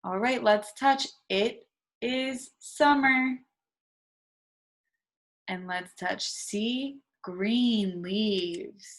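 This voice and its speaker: A woman teacher doing an interactive read-aloud for young children, in short simple sentences, prompting with questions and stressing key words. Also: on the high side. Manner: instructional, emphasising key words slowly for children.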